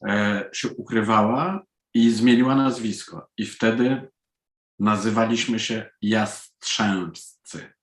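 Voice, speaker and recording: A man's voice: fairly low pitch, fairly even intonation, a little slow. A man from Poland, an author, answering interview questions at a live event. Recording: roomy, echoing sound.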